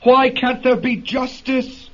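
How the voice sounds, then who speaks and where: A man's voice: very high-pitched, completely flat delivery, slow pace, a bit bright, exaggerated voice. A man playing a desperate condemned prisoner in a radio drama, pleading fervently, on a dull vintage recording with slight noise. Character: desperate condemned underperson pleading for equal rights. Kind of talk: golden-age radio drama acting.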